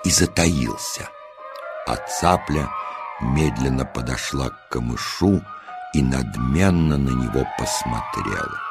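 A man's voice: a bass voice, expressive, slow. A man narrating a scripted children's story as a storyteller. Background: music.

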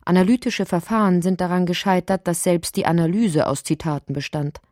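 A woman playing a whimsical narrator in a literary radio play, with a husky voice. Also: on the low side, brisk, darkish tone, no room sound, studio quality, lightly acted. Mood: musing, measured.

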